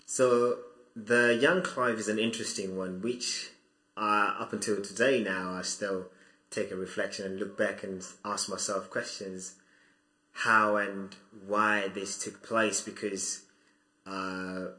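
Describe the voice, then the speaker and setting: A young man from Zimbabwe talks through his life story in a low voice with a bright, forceful edge. He is reflective and hesitant, often restarting his sentences. The room around him sounds echoey. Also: flat delivery, slow. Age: 20s. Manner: thoughtful, hesitant with many restarts. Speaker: guest.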